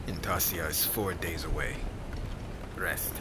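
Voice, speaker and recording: A man performs a stern witch hunter in an immersive ASMR roleplay. His voice sits low and he gives terse orders like a duty-bound captor who will not bend. Loud environmental noise surrounds the voice. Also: unhurried. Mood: cold, restrained. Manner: commanding, clipped, terse, low intensity breaking into urgent shouts. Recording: loud storm and ambience noise behind voice.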